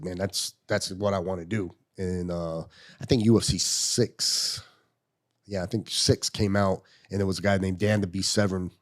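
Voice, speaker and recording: A man in his fifties, a podcast guest telling stories in casual chat and acting out the quotes. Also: deep voice. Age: 50s. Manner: animated storyteller, acts out quotes.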